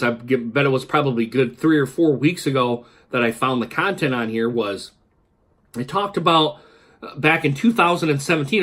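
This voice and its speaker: A man's voice: mid-range voice, fairly bright tone. Congested man rambling in a home vlog. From Michigan. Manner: rambling, many fillers and restarts. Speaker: YouTuber. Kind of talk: casual talking-head vlog. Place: kitchen at home, indoors.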